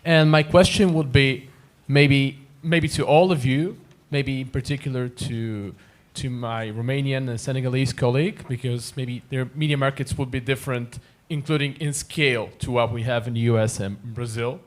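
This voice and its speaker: A man's voice: mid-range voice, steady tone, moderate pace, hardly any pauses. A man from Bulgaria asking a panel a long question from the audience, hesitating and repeating words as he searches for phrasing.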